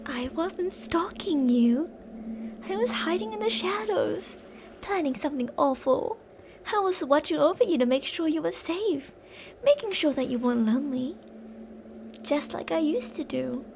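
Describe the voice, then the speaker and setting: A woman's voice: high-pitched, lively intonation, moderate pace, gravelly, background noise. A woman playing an obsessive, possessive female fan turned kidnapper in an intimate, dramatic ASMR roleplay monologue. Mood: defensive, insistent. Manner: intimate, swinging between insistent pleading and soft whispery menace.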